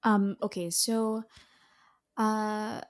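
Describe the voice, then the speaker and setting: A young woman, an opera soprano, talking casually, pausing often with fillers and restarts. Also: medium pitch, little pitch movement. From Philippines. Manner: hesitant, many fillers and restarts, occasional laughs. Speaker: opera singer, soprano guest. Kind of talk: casual interview chat.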